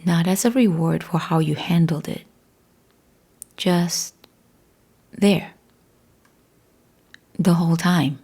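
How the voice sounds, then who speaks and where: A woman narrates a guided meditation in a slightly low, mellow voice with a breathy, husky edge. Her delivery is hushed and measured, with deliberate pauses between phrases. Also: fairly even intonation.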